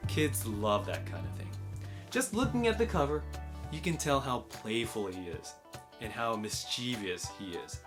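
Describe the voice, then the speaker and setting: A man with an American accent explaining and recommending in a clear, instructive way, using simple wording, as host of a children's learning channel. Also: medium pitch, big pitch swings, a little slow, over music. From United States. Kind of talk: explanatory book recommendation and picture-book read-aloud.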